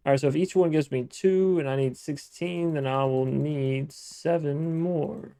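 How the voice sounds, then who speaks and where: A man with a gravelly, mellow voice chats his way through a modded building game, musing aloud and correcting himself as he plays. The sound is dry and close to the mic. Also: on the high side, fairly even intonation, measured pace, dry recording. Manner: chatty, thinking aloud, frequent restarts and self-talk. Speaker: gaming let's-play host. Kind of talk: casual gameplay commentary.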